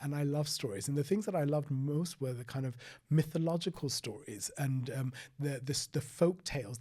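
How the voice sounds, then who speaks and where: A middle-aged man from Sri Lanka, talking reflectively as an interview guest, with frequent restarts and soft trailing phrases. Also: medium pitch. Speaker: guest, former paediatrician. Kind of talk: conversational interview.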